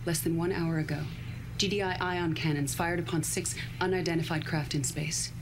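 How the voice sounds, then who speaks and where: A woman's voice: lowish voice, steady tone, moderate pace, background noise, close to an everyday voice. An actress playing a cold, ambitious female military leader in a game cutscene, speaking formally and with authority.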